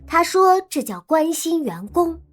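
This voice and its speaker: A lively young student played by a woman with a high, swooping voice. She teases and wheedles like a gossip-hungry roommate in an audio drama, over soft music. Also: unhurried, full character voice. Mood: playful, teasing. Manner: teasing, animated, wheedling. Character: lively, teasing young female college student, romance-obsessed gossip. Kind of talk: Chinese audio drama acting.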